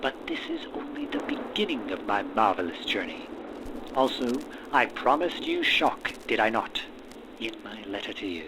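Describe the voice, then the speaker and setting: Man acting a deep-voiced manic mad scientist, stormy backdrop. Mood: gleeful, assured. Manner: florid, formal, dramatic pauses and sudden emphasis. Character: manic, ambitious male mad scientist, courteous and grandiose. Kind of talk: gothic horror audio roleplay, theatrical monologue. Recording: steady rain and thunderstorm noise behind. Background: rain and thunderstorm ambience.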